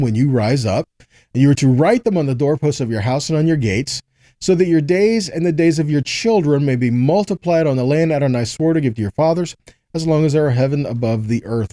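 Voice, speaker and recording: Mellow-voiced man teaching scripture. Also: a little high, no room sound, clean studio sound. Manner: didactic, punctuated with short check-in questions. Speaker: Bible teacher. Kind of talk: scripture teaching sermon, reading verses and explaining them.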